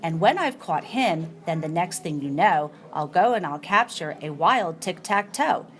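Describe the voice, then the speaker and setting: A woman's voice: fairly low pitch, animated, measured pace. A woman on staff reading a rhyming storybook aloud.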